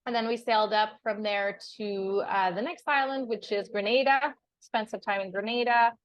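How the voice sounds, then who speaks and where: A woman's voice: medium pitch, steady tone, moderate pace, no echo, poor audio. A young woman whose first language is French, chatting in a casual interview over a video call, often laughing and restarting words. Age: young adult. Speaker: guest. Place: on a sailboat.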